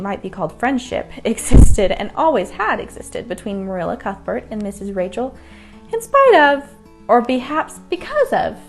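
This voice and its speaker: A woman narrating a children's bedtime story from a script. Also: fairly high pitch. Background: music.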